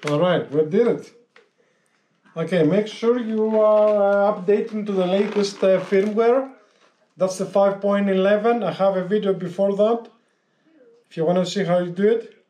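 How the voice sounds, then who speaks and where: A man giving a casual hands-on tutorial as a vlogger, explaining step by step in a halting, repetitive way. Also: high-pitched, warm tone, slight background noise.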